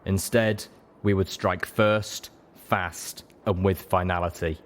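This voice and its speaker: A man reading a dramatic story as an audiobook narrator, measured, solemn and emotive. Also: sits low, steady tone. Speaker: audiobook reader.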